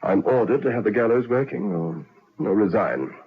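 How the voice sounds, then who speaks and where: An actor playing a stern but humane prison governor in an old radio drama, formal and authoritative. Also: male voice, lowish voice, animated, moderate pace, slightly rough. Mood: grave, resigned. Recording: noisy, muffled old radio recording.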